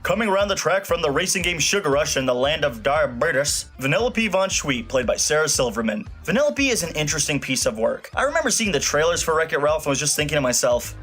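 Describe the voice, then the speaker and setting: A man's voice: on the high side, a bit bright. A man delivering a scripted comedic film review in a snarky, blunt and emphatic way. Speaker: video reviewer.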